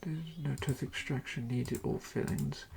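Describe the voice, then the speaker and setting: A person doing an ASMR dentist roleplay in a soft, breathy, creaky whisper, giving calm and reassuring instructions to a patient. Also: mid-range voice, unhurried, a little hiss or hum.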